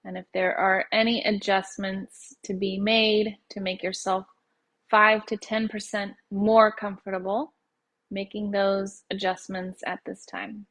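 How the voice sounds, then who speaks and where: A woman leading a guided meditation as a yoga nidra teacher, speaking in slow, unhurried phrases with gentle pauses. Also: medium pitch, level tone.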